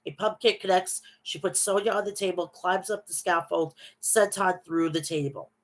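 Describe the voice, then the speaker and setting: A woman's voice: lowish voice, fairly even intonation, normal speed. A woman hosting a casual wrestling recap, talking through a stuffy nose from a bad cold, reading match results and chatting with viewers. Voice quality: nasal.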